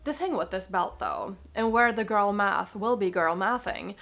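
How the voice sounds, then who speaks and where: Woman fashion vlogger, mid-pitched and slightly stuffed up with a cold; conversational, emphatic, casual review delivery. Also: normal speed, gravelly, a bit bright. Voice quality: slightly nasal. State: has a cold. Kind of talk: casual chatty review to camera.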